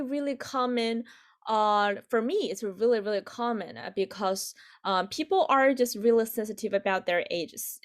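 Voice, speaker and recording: Young chinese-accented woman teaching a language class online, takes it slow, kinda halting, repeats stuff, mid pitch. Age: 20s. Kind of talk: online lesson, explaining with examples.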